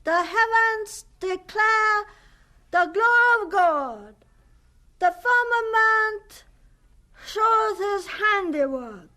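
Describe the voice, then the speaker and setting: A polite, earnest young boy in a radio drama. The voice is very high and bright, and it moves very slowly, with careful, solemn, halting phrasing. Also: lively intonation, exaggerated voice. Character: shy, earnest, polite ten-year-old boy.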